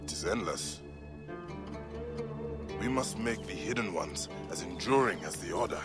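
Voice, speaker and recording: An actor playing a vengeful, passionate warrior assassin of ancient Egypt in a video game, speaking with solemn, emphatic intensity. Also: male voice, low voice, expressive, measured pace, loud music behind the voice. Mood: sombre, weary. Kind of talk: dramatic video game acting.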